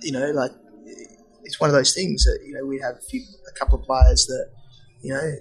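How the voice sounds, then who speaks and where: A man's voice: medium pitch, level tone, fairly quick, slightly rough, rough recording. An Australian man from Perth in casual chat, agreeable and hesitant, with lots of fillers. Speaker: fly-in fly-out worker.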